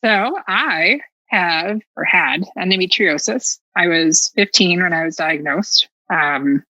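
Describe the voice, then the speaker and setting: A woman's voice: fairly low pitch, slow pace, sharp tone, no echo, low-quality recording. A middle-aged American woman telling personal stories as a podcast guest, casual and candid, with a sarcastic, self-mocking streak and a habit of acting out dialogue.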